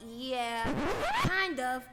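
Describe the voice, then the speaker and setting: A cheeky little boy acted by a woman speaks slowly in a high voice. He is blunt and halting, in radio-play style, with music clearly behind him. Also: animated, full character voice. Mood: sheepish. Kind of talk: comic radio drama acting. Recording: reverberant, music underneath.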